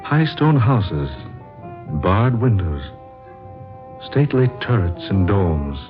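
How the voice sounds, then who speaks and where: An earnest wandering man with a very low voice in a 1940s radio drama. He narrates his diary solemnly and deliberately, in literary phrases. Music sits behind him on a dull vintage recording. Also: very animated. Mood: solemn, reflective.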